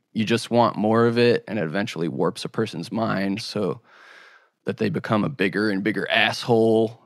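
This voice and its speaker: A man with a fairly low voice conducts a podcast interview. He puts his questions in a measured, tentative, conversational way, recorded dry with little room sound. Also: dry recording.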